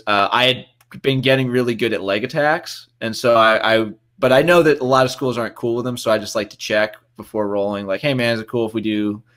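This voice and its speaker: A man's voice: fairly low pitch, smooth. Chatty young man telling stories. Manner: animated, lots of restarts and quoted voices. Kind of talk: casual anecdotal storytelling.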